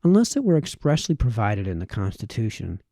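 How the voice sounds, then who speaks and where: A man reading a formal historical text aloud in audiobook style, with measured phrasing and the occasional stumble. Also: lowish voice, wildly swooping pitch, warm tone, no room sound, studio quality. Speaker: amateur reader of historical texts.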